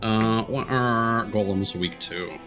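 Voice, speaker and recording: A man with a fairly low voice gives casual commentary as he streams a video game. He mutters to himself and swears freely. Music plays clearly behind his voice. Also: slow, a bit bright. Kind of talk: casual live gameplay commentary.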